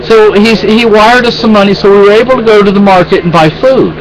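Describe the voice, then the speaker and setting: A man's voice: high voice, a little fast, crowd noise, rough recording. A man venting in a rambling, exasperated way in a casual home vlog, with many restarts. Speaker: vlogger.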